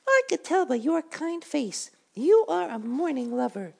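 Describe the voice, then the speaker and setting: A man voicing an excitable male beetle from a club, squeaky and shouting, in a storybook reading. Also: measured pace, creaky, exaggerated voice. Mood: cheerful.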